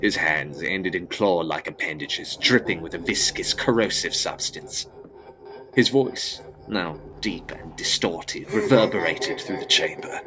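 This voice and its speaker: A man's voice: sits low, unhurried, low-quality recording. A man narrating a gothic audio drama as scripted, with grave, dramatic phrasing.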